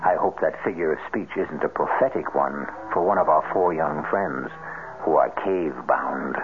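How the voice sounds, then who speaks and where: A man playing the macabre, urbane host of a 1970s radio mystery show, speaking in a measured, theatrical, ominous way. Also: extremely low pitch, slight background noise. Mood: ominous, wry. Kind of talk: 1970s radio drama narration. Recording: muffled old radio broadcast over music.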